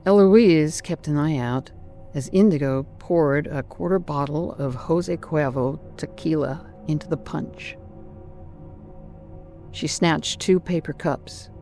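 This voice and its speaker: Slow, dramatic horror narrator over music. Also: mid-range voice, mellow.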